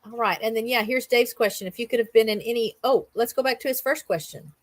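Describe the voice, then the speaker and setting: Higher-voiced woman hosting a casual chat. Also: fast. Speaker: interview host. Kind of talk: casual livestream interview, greeting chat.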